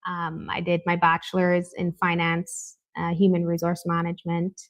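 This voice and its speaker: A woman's voice: lowish voice, monotone, normal speed. A woman guest on a talk show, a life coach from Canada, talking candidly and reflectively, often adding "right?" as she goes. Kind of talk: conversational interview.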